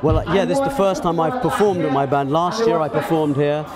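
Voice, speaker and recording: A man's voice: a little high, brisk. A middle-aged man from England, a chutney soca singer, talking emphatically in an outdoor festival interview on a handheld mic. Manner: lively, emphatic, swears freely. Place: crowded outdoor park festival. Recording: loud music and crowd behind.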